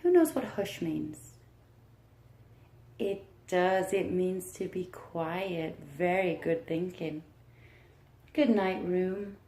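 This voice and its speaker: A woman teacher reading aloud to young children in an encouraging manner, with questions and deliberate pauses. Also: on the low side, animated, dark tone. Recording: roomy, echoing room sound.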